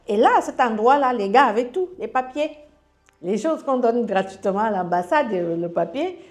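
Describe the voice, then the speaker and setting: Halting Cameroonian woman telling her story. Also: a little high. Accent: Cameroonian French. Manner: halting, frequent fillers and restarts, relays others' words in dialogue. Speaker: guest sharing a personal testimony. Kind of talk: casual spoken storytelling.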